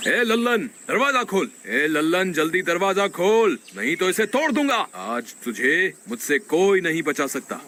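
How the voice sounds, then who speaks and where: A man's voice: high voice, very animated, a little slow, few pauses, sharp tone, exaggerated voice. A man playing a stern, emphatic village farmer in a cartoon moral story. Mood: furious. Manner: threatening, emphatic.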